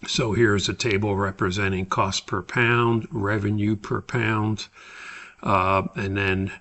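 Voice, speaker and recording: A man giving an unscripted, step-by-step tutorial as an instructor, explaining in a halting, methodical way. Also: on the low side, little pitch movement, fairly bright tone. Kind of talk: screen-recorded spreadsheet tutorial, unscripted explanation.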